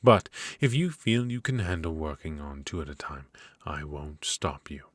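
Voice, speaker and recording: A cold, exacting royal professor of magic, voiced by a man, lecturing his pupil in a measured, stern manner. The voice sits very low and deep, close to the mic in a dry, dead room with no echo. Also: lively intonation. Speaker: voice actor in ASMR roleplay. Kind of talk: ASMR roleplay.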